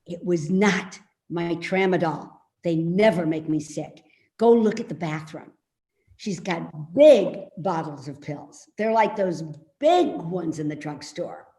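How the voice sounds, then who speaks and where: Woman doing a cranky suspicious old mom in a stage play, nagging slowly, echoey room. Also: lowish voice, animated, dark tone. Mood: complaining. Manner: nagging, indignant, slow and insistent. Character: querulous, suspicious elderly mother, complaining and accusing. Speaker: actor in a readers theater play. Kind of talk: dramatic play reading. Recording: roomy, echoey remote reading.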